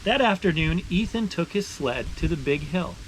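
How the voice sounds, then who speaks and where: A man reading a picture book aloud to children with expressive, deliberate phrasing, stressing words as he narrates. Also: fairly high pitch, poor audio. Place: beside a boiling maple sap evaporator. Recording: steady ambient background noise.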